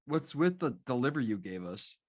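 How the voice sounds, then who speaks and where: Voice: medium pitch, very animated, fairly quick. An actor playing a young streetwise man who asks direct questions in a screenplay table read. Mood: questioning, annoyed.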